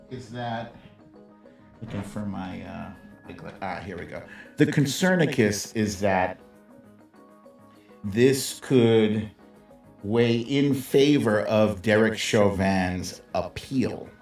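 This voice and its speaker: A man's voice: lowish voice, gravelly, a little mellow. A man hosting a live political commentary stream in a casual, opinionated, sardonic conversational way, with salty slang. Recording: roomy echo, distant mic, music behind.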